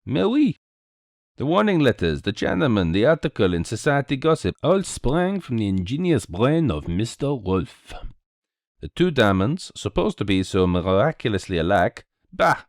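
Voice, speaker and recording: A dapper little detective played by a man reading aloud in a storybook mystery. He speaks, courteous and theatrical, his pitch swinging freely. He sounds cheerful and very sure of himself, in a dry, close sound. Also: big pitch swings, moderate pace, no echo. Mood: knowing, animated. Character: small Belgian male detective, cheerful, courteous, self-assured.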